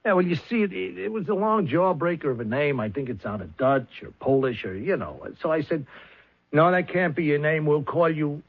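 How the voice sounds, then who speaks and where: An actor playing a flustered middle-aged talent agent who stammers and rambles, in a 1970s radio drama. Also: male voice, on the high side, normal speed, husky. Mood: rambling. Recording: lo-fi old radio broadcast.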